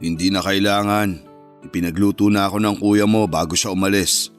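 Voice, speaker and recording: A man's voice: low-pitched, steady tone, fairly quick, lightly acted. An actor playing a cold, resentful middle-aged father in a Filipino radio drama, speaking in a curt, scornful, accusing way. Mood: curt, dismissive. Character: cold, resentful, harsh father of a grown son. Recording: music bed behind the voice.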